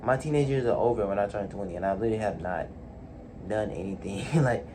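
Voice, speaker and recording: A man's voice: lowish voice, fast, dark tone, a little hiss or hum. A man in his late teens talking alone in his bedroom, hesitant, full of fillers and restarts. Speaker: vlogger. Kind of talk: casual solo talk to camera.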